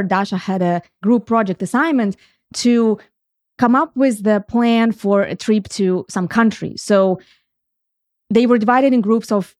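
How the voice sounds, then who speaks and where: Woman, middle-aged, earnest podcast host, medium pitch, dry close mic. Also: darkish tone, no room sound. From Ukraine. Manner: earnest, explanatory, thinks aloud with restarts. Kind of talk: reflective solo podcast talk.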